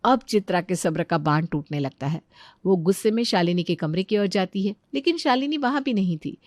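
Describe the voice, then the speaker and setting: A woman's voice: mid-range voice, animated, fairly quick, darkish tone, dry recording, studio quality. A woman delivering scripted storytelling narration as the narrator of a family tale.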